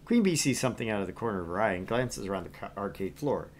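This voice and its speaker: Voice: deep voice, lively intonation, fast talker, a little hiss or hum. A game streamer reading a visual novel aloud with casual, wry commentary. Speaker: gaming streamer.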